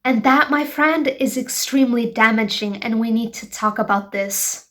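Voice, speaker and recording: A woman's voice: a little high, moderate pace, a bit bright. A woman in her twenties from Bangladesh hosting her own show, talking frankly and emphatically to her viewers. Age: 20s. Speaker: show host. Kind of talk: personal vlog monologue.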